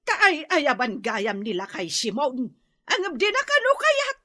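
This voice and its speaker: A woman's voice: sits high, very animated, unhurried, pushed and bright, exaggerated voice. A woman with an Ilocano accent playing a meddling, reproachful older mother in a radio drama. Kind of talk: Filipino radio drama acting.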